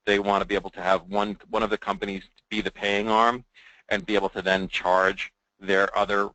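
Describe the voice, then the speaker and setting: A man giving an informal software demo as a presenter, in a conversational way that often checks in with the listener. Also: deep voice, no echo, rough recording.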